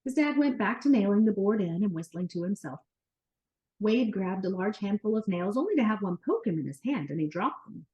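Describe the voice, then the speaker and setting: Woman, children's storyteller, mid-range pitch, expressive read-aloud narration, animated delivery. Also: brisk, muffled recording.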